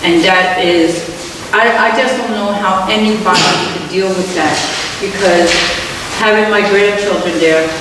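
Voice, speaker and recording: An older woman, a school board candidate, giving an earnest, plain-spoken and halting speech at a debate. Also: medium pitch, fairly even intonation. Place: large hall at a debate. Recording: echoey hall from far off, noisy.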